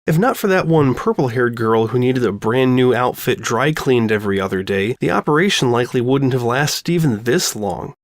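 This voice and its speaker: A man's voice: mid-range voice, lively intonation, normal speed, few pauses, no echo, clean studio sound. A man reading a scripted story as its narrator. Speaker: narrator reading fan fiction.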